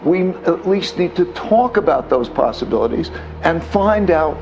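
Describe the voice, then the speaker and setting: Halting man explaining, muffled sound. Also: on the high side, lively intonation, a little mellow, faint music behind. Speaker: financial commentator, interview guest. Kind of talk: explanatory interview talk.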